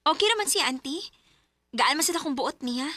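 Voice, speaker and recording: A woman playing a young shop worker in a radio drama, eager and bright. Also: sits high, lively intonation, a little fast, no room sound. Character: young woman working as shopkeeper.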